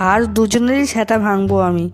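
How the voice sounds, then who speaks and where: Man doing a bossy, high-voiced gang ringleader. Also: a little fast, faint music behind. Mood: exasperated. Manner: bossy, crude, grumbling. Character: bossy, exasperated male ringleader of a rowdy gang. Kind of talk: amateur comedy cartoon dub.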